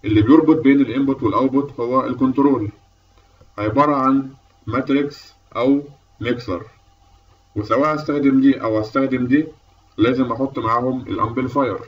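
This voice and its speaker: A man's voice: mid-range voice, steady tone, fast talker, distant mic, highs cut off. A man with an Egyptian Arabic accent giving a methodical, explanatory lecture as an instructor, step by step. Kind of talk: explanatory lecture over slides.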